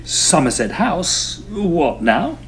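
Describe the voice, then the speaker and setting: An actor playing an earnest, excitable Edwardian gentleman ghost hunter who tells his tale in formal, theatrical old-fashioned diction. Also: on the high side, slow, a little hiss or hum, full character voice. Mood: storytelling. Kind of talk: dramatised first-person period storytelling. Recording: violin music behind the voice.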